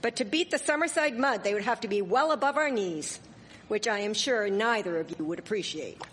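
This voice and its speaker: A woman playing a sharp-tongued, indignant Victorian village wife in a live radio drama, scolding and sarcastic in formal diction. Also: medium pitch, fairly quick, background noise, natural voice. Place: performing arts theatre stage. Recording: echoey hall, live stage performance.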